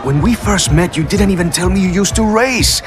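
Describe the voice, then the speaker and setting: An actor playing an earnest, anxious young racer in debt, hesitant and pleading, in a game cutscene. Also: male voice, high voice, a little fast, over music, crowd noise, natural voice. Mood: alarmed, pleading. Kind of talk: video game cutscene acting.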